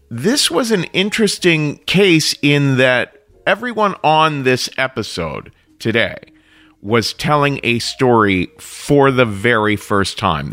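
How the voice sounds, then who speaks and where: A man's voice: mid-range voice, fairly bright tone, dry recording. A man hosting a storytelling podcast, introducing the episode in a chatty way. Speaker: podcast host. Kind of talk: show introduction.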